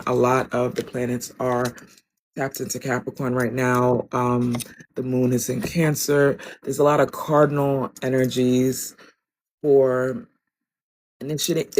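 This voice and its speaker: A woman with a raspy, deeper-than-usual voice, chatting loosely through an oracle card reading on a phone livestream. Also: a little mellow, a little hiss or hum. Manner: loose, rambling, with many ums and asides. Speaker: tarot and oracle reader.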